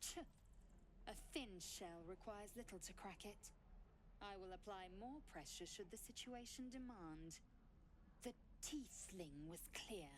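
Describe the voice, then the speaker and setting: A woman's voice: mid-range voice, a little slow, slight background noise, lightly acted, rough recording. A woman voicing a stern, haughty alien warrior in a video game, speaking in clipped, formal, imperious phrases. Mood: haughty resolve. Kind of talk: video game character acting.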